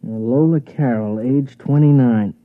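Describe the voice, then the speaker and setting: A man's voice: mid-range voice, unhurried, no room sound, natural voice. An actor in a 1950s radio drama playing a nervous, slangy male con man partner, on an old radio recording. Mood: sardonic. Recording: dry, dull old radio broadcast.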